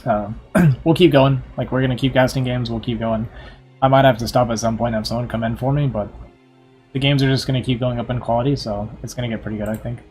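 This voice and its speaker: A man streaming and co-casting esports, chatting casually while losing his voice and clearing his throat often. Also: mid-range voice, fairly even intonation, fast talker, darkish tone, soft background music. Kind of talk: casual chat.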